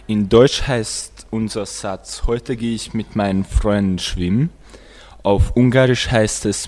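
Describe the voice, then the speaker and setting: A man's voice: fairly low pitch, darkish tone. A teenage boy, a school student, explaining languages in conversation with a classmate on a radio show. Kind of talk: presenting language comparisons with a classmate.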